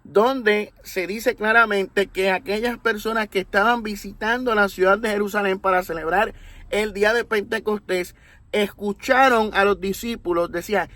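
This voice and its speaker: A man from Puerto Rico with a Puerto Rican accent, explaining a religious topic in a deliberate, teacherly way, often checking in with his listeners. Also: sits high, fairly bright tone. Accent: Puerto Rican Spanish. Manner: deliberate, teacherly, with rhetorical tags. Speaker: religious commentator. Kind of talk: explanatory monologue.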